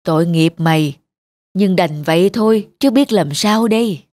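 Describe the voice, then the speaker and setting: A woman playing a loving, weary old village grandmother in a cartoon, with a gentle, sighing, plain-spoken manner. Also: fairly low pitch, moderate pace, no echo, lightly acted. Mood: sad, resigned. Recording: music behind the voice.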